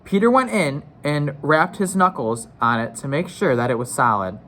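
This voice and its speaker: A man's voice: a little high, expressive, normal speed, pushed and bright, a little hiss or hum. Man reading a storybook aloud. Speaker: story reader. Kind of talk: read-aloud storybook narration.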